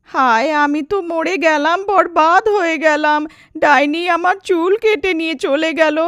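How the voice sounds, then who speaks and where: A woman voicing a melodramatic young village wife in an animated horror folk tale. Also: extremely high pitch, unhurried, no echo, clean studio sound. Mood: wailing. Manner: wailing, melodramatic.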